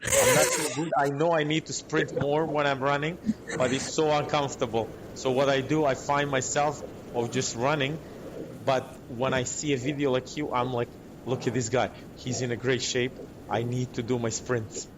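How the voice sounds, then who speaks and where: A man's voice: mid-range voice, lively intonation, moderate pace. A man from Montenegro, a restaurant owner, speaking as a podcast guest in English, effusive and thankful. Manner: warm, grateful, effusive. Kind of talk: casual interview talk. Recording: audible background noise.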